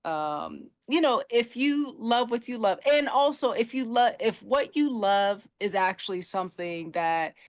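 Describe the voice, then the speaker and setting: A woman co-hosting a podcast, chatting casually and giving her opinions in an animated, emphatic way. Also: a little high, a little slow, hardly any pauses. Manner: animated, emphatic, mimics others in quoted asides. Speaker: podcast co-host.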